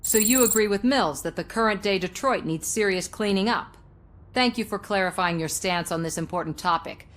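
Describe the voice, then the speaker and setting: A woman as a brisk TV news reporter. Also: mid-range voice, close to an everyday voice. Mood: brisk, professional. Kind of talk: television news interview delivery.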